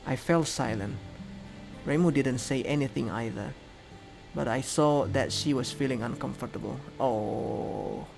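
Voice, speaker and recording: A man's voice: medium pitch, moderate pace, gravelly, over music. A man reading the scripted narration and dialogue of a visual novel aloud. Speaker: video game player reading aloud.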